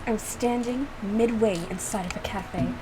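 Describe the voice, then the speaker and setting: A woman's voice: a little high, unhurried, background noise. A young adult woman giving casual, self-mocking reaction commentary, often repeating her phrases as she goes. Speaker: gaming reaction video creator. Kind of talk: casual reaction commentary with lines read aloud.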